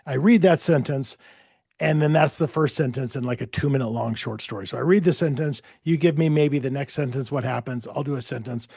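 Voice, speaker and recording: A man hosts a relaxed interview, his voice carrying a strong gravelly fry. He wanders through his thoughts, doubling back and restarting. The sound is dry, with no room around it. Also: mid-range voice, no echo. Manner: rambling, restarts thoughts, quick affirmations. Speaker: podcast host. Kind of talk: casual interview chat.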